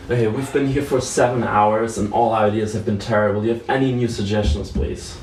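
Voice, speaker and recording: A man's voice: lowish voice, fairly even intonation, a little fast, darkish tone, a little hiss or hum, natural voice. A man playing an exasperated, impatient leader of a planning meeting in an amateur comedy sketch. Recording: echoey room, distant mic, faint music.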